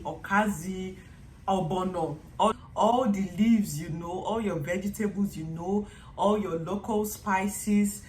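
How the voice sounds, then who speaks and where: A young woman from Nigeria with a Nigerian accent, chatting casually to her vlog audience and acting out little quotes as she tells stories. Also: fairly low pitch. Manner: chatty, animated storytelling with acted-out quotes. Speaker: vlogger. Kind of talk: casual vlog monologue to camera. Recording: echoey room with faint background noise.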